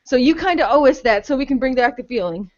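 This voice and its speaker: A woman's voice: high-pitched, lively intonation, fast talker, muffled recording. A woman co-hosting a casual podcast, blunt, sarcastic and opinionated, with plenty of swearing. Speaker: podcast co-host.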